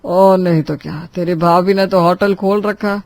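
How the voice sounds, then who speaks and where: A man's voice: high voice, fairly even intonation, unhurried, a little mellow, no room sound. Kindly father-in-law, middle-aged, teasing, family drama, dry close sound.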